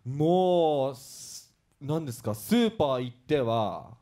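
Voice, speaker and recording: A man's voice: medium pitch, expressive, takes it slowly, warm tone. A man co-hosting a talk show in casual, jokey, bantering chat. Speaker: radio show co-host.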